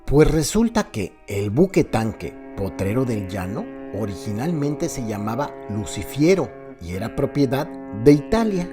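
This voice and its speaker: A man's voice: mid-range voice, very animated, measured pace, few pauses. A man with a Mexican accent narrates a crime radio serial as a dramatic scripted story, addressing listeners directly in a theatrical way. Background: background music.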